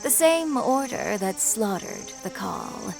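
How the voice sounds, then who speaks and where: An actress playing a mocking, sinister cult leader in a video game, with an archaic, taunting and ominous way of speaking. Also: female voice, a little high, big pitch swings, slow pace, gravelly, soft background music, full character voice. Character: ageless cult leader woman posing as young, mocking, sinister. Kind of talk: dramatic video game voice acting.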